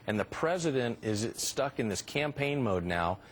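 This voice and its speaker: A man giving live political commentary, halting as he searches for words. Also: mid-range voice, expressive, slight background noise. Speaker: political pundit.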